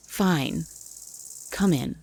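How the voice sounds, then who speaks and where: A woman playing a gruff, wary cabin dweller, blunt and grumpy, in a horror audio roleplay. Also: low-pitched, darkish tone, exaggerated voice. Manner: blunt, grumpy, foul-mouthed.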